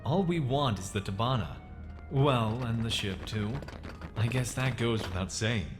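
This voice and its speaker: An actor playing a persuasive space pirate leader, controlled and wry, in an audio comic dub. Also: male voice, mid-range voice, measured pace, music in the background, close to an everyday voice. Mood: controlled, bargaining. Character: smooth-talking, bargaining male space pirate leader.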